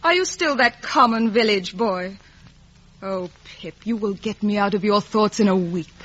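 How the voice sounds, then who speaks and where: Proud young lady, cool and detached, breathy-husky, vintage radio drama, muffled recording. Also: mid-range voice, a little slow, fairly bright tone. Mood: cold, proud. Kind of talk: 1950s radio drama acting.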